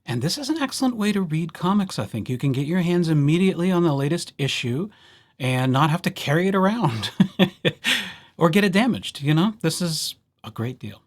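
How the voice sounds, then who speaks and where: A man giving a casual software walkthrough as a librarian, in a playful, wry and chatty way. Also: on the high side, sing-song, normal speed. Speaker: librarian presenting a tutorial series.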